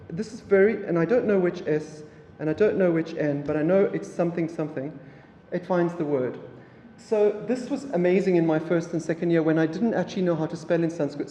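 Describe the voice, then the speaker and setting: A man from South Africa, an Afrikaans speaker, giving a relaxed public lecture with halting phrasing and many fillers. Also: a little high, slight background noise. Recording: echoey hall, mic from afar.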